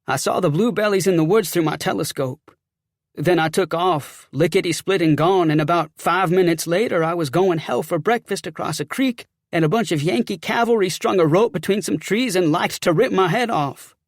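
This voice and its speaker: A man's voice: high voice, normal speed, no room sound, clean studio sound, pitched above the performer's own voice. A man voicing a scared young Confederate sergeant in an audiobook, with folksy, ungrammatical, blurting speech. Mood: breathless recounting. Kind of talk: audiobook character acting.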